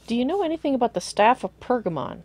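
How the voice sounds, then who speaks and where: Slightly hoarse woman streaming a game. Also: a little high, big pitch swings, fast talker, a little mellow. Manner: chatty, greets viewers, thinks aloud. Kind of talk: casual live gameplay commentary.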